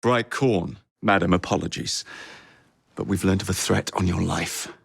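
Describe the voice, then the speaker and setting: A man's voice: low-pitched, moderate pace, vocal fry, breathy edge, no echo, studio quality, heavily acted. A man playing a cocky young Victorian London gang leader in a video game, with an English accent and a cheeky, wry manner. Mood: brash, businesslike.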